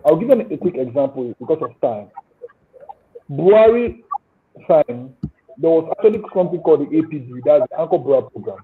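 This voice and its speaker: A man's voice: fairly high pitch, expressive, brisk, a little hiss or hum. A man with a Nigerian accent, speaking as a panelist over a call and earnestly explaining his points with proverbs and examples. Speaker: panelist, agribusiness owner. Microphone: remote call audio. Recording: tinny call line.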